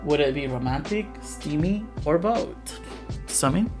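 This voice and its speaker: A man's voice: a little high, animated, a little slow. A man hosting a casual live Q&A as a game developer, playful and self-deprecating. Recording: background guitar music. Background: guitar music.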